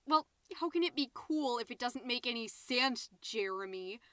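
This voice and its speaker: A woman's voice: on the high side, lively intonation, normal speed, fairly bright tone, no echo. A woman playing a chatty, scatterbrained, overexcited middle-aged suburban mom who hosts a comedy podcast, rambling, interrupting herself and stressing words theatrically. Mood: exasperated nagging. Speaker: podcast host. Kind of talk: comedic character monologue as a homemade podcast.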